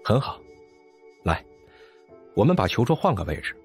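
An actor playing a brooding, scornful physicist in mourning, with a sighing, world-weary manner, in an audio drama. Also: male voice, on the low side, very animated, normal speed, breathy edge, faint music behind, heavily acted. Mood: brisk.

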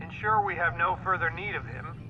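A man's voice: a little high, steady tone, a little fast, noisy background, lightly acted. A man playing a cold, ruthless boss in a sci-fi video game, giving curt commands. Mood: cold, commanding. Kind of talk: video game cutscene acting. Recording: distant, thin phone-like sound, music.

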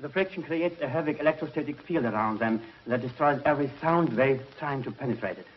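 Actor as an earnest lab technician, dull soundtrack. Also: male voice, mid-range voice, fast, lightly acted. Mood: anxious, explaining. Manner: explanatory, deferential. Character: earnest, deferential laboratory technician. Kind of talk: 1950s television sci-fi serial acting. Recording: muffled old TV soundtrack, faint noise.

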